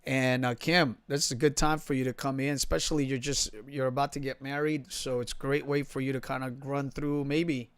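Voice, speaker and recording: A man's voice: medium pitch, flat delivery, brisk, hardly any pauses, smooth, dry recording. A man in his thirties with a mixed Filipino and New York accent, joking and laughing through a casual livestream chat. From Philippines. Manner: jokey, laughs often. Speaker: livestream host. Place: home room. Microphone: studio mic on boom arm.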